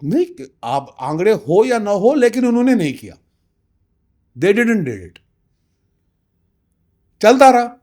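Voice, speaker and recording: A man's voice: high voice, very animated, takes it slowly, long pauses, a little mellow. A man of about sixty, giving emphatic interview testimony and slipping in English phrases. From Kashmir, India. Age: around 60. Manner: emphatic, insistent, uses rhetorical questions. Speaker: interviewee, community representative.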